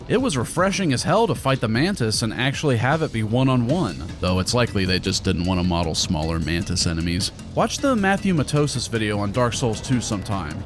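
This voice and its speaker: A man narrating a scripted video game review in a wry, sarcastic, casual way. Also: mid-range voice. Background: background music.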